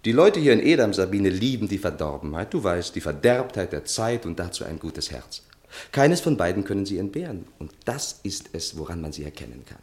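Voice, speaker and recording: A man's voice: deep voice, very animated, brisk, a little mellow. A man acting in a 1960s German radio play as a tipsy, infatuated young journalist, with theatrical, ardent and sometimes stammering delivery. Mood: boastful, playful.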